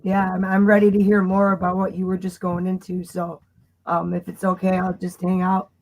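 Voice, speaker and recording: Croaky woman calling in, flat lowish voice, polite and kinda hesitant. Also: normal speed, a little hiss or hum, poor audio. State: losing her voice. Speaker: caller joining a stream.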